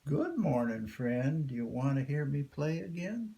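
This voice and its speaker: A man voicing a friendly, folksy talking mud turtle from a folktale, with an unhurried, half-singing delivery. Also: on the high side, big pitch swings, dark tone, poor audio. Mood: cheerful.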